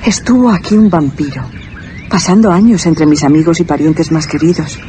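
A woman's voice: deep voice, normal speed, warm tone, lightly acted. A woman playing naive young peasant woman, earnest, superstitious, radio play, faint background noise. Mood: fearful, earnest. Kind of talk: Spanish radio drama acting.

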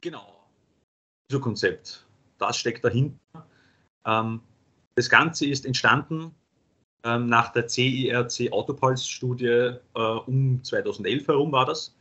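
A man's voice: medium pitch, bright, forceful tone, husky. Austrian guy presenting a webinar, lots of ähms, tense edge, muffled call audio. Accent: Austrian German. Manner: halting, many filler sounds. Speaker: paramedic lecturer.

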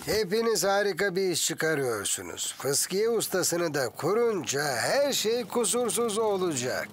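A man's voice: high-pitched, big pitch swings, unhurried, breathy edge, heavily acted. An actor playing a cheerful, obliging handyman in a children's cartoon, upbeat and eager to help. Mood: helpful. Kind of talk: animated children's cartoon dub. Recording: loud music behind the voice.

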